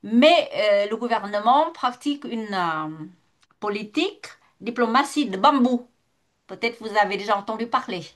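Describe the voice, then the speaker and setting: A woman's voice: a little high, animated, moderate pace. A retired woman from northern Vietnam, speaking French with a Vietnamese accent, who talks as a guest in an online debate, with many fillers and restarts. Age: older.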